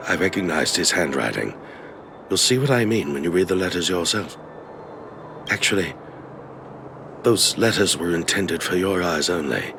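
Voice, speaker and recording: A man playing a loyal, humble old family retainer in a video game, speaking in a formal, deferential, kindly way. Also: low-pitched. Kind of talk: video game story voice acting. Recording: music behind the voice.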